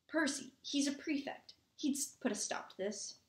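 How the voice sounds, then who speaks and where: Lady reading as a bossy schoolgirl, high voice, indignant and naggy, storybook style. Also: moderate pace, reverberant, close to an everyday voice. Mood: scolding. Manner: scolding, insistent. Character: bossy, indignant schoolgirl scolding her classmates.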